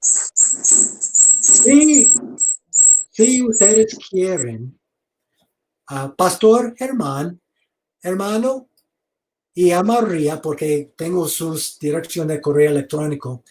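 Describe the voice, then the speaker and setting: Slow, halting foreign-man lecturing. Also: high-pitched, expressive, dark tone, low-quality recording. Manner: slow and halting, repeats words, invites questions. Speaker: seminary lecturer. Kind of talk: online theology lecture. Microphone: video call.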